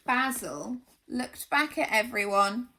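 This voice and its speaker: A woman reading a storybook expressively to young children, animated, with questioning asides. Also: a little high, takes it slowly, a little hiss or hum, poor audio.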